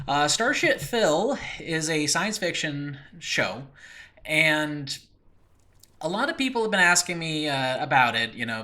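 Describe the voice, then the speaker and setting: A man's voice: medium pitch, bright, forceful tone. An American man hosting a podcast solo, talking informally and rambling with frequent filler asides. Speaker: podcast host and audio drama creator. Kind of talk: casual solo podcast talk. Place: room in a new apartment, makeshift recording space.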